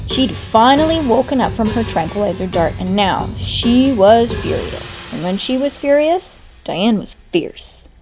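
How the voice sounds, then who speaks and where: Expressive higher-pitched woman reading a children's story. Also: measured pace, hardly any pauses, over music, poor audio. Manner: animated, dramatic delivery. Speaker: storybook reader. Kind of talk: expressive children's read-aloud narration.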